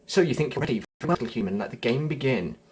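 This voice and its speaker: A man's voice: medium pitch, animated, fast talker, a little hiss or hum, natural voice. A man reading as a sneering riddle spirit. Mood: scornful. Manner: sneering, condescending. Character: haughty, scornful riddle-keeping game spirit.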